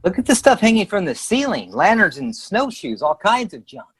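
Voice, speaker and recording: An actress playing a complaining, excitable youngster of the family in a radio sitcom. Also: female voice, medium pitch, normal speed, breathy edge. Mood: excited.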